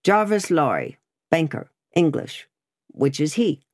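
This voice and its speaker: A woman's voice: deep voice, sing-song, unhurried, darkish tone. Woman doing a barking, sneering gate officer. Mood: mocking. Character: brusque male revolutionary gate officer, gruff, mocking.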